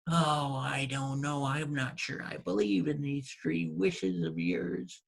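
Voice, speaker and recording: A scolding peasant wife who nags and repeats herself in exasperation, as a male storyteller puts her on. The voice stays close to his own, gravelly and husky, only slightly raised. It sounds like a folk-tale character spoken aloud. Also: medium pitch, animated, slow pace, exaggerated voice. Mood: skeptical. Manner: nagging, exasperated, repetitive.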